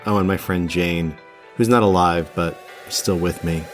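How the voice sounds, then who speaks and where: A man hosting a podcast, narrating in a relaxed, conversational and slightly wry storytelling style. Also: sits low, unhurried. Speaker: podcast host and producer. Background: music.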